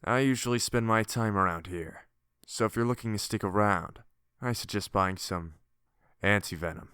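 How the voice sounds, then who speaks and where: Guy doing a stern cloaked mayor for an audiobook, low smooth voice, measured and bossy, dry sound. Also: pushed and bright, dry recording. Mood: formal, measured. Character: cloaked, masked town mayor, stern and somewhat hostile tank. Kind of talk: audiobook character acting.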